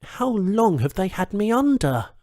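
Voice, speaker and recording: Man acting a desperate escaped prisoner, strained and high. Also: big pitch swings, darkish tone, no echo, studio quality. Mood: bewildered. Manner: strained, halting, urgent self-talk. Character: desperate, disoriented male escaped prisoner, ex-military.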